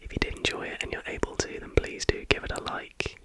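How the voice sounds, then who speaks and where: A man whispers softly and without hurry in an informal ASMR narration, as the host of the video. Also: brisk.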